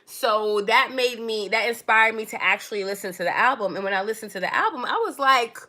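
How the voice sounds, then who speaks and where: A woman's voice: a little high, a little fast, bright, forceful tone. A woman, born in Trinidad and raised in New York City, chatting casually to viewers on her own livestream and calling them darling and baby. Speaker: livestream host.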